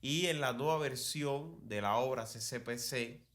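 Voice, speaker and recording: A man's voice: mid-range voice, a little slow, fairly bright tone. A man with a Cuban accent hosting a conversational talk on cultural history, telling it like a digressive storyteller who repeats phrases for emphasis. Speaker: podcast host.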